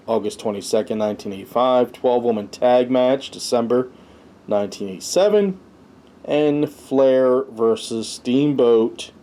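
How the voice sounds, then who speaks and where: A man's voice: medium pitch, mellow. A man hosting a review show, casually reading out lists and commenting on them in an informal way. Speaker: host of a wrestling review show.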